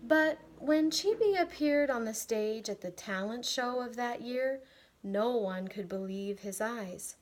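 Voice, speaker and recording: An American woman, a teacher, reading a picture book aloud with deliberate, expressive phrasing meant for children. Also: on the high side, poor audio. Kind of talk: read-aloud storytelling.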